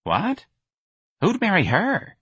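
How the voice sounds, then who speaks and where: A man voicing a blunt, intense young woman activist who talks in a flat, direct, slightly hostile way. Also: mid-range voice, normal speed, slightly rough, dry recording, dull audio, pitched above the performer's own voice. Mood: indignant. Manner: brusque, nagging.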